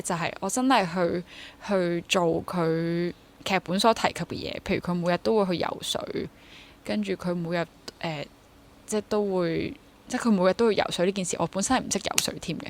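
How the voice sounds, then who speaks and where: A woman's voice: lowish voice, fairly quick. Young Hong Kong woman chatting reflectively. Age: young adult. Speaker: interview guest, theatre actor. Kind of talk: casual interview chat.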